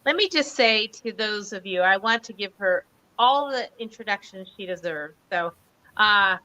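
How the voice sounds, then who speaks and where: A woman's voice: mid-range voice, lively intonation, moderate pace, pushed and bright, highs cut off. A woman hosting a casual online show over a video call, chatty and gushing as she introduces her guest. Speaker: host.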